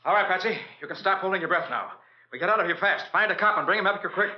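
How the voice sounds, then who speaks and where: An actor playing a confident, quick-witted private detective with an assured, wry manner in an old radio drama. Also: male voice, high voice, expressive. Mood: urgent. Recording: dull old radio recording.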